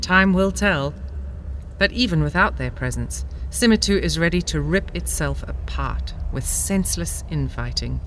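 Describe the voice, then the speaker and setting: Performer playing a grave measured advisor, mid pitch, faint hiss behind. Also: expressive, a bit bright, low-quality recording. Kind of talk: audio drama acting.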